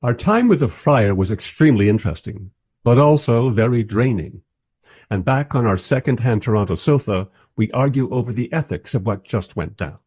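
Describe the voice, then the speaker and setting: A man's voice: mid-range voice, expressive, normal speed, darkish tone, breathy edge. A man playing a reflective documentary maker who narrates a radio play in a wry, literary, musing way.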